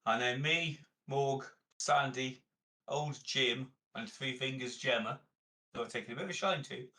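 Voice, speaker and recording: A man's voice: medium pitch, a little slow, sharp tone. A man chatting casually and bluntly as a player in a tabletop game. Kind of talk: casual chat.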